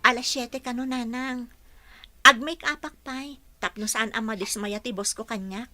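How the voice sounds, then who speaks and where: A woman playing a bright, eager young housekeeper. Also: fairly high pitch, steady tone, normal speed. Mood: earnest. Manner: polite, deferential. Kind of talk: radio drama acting.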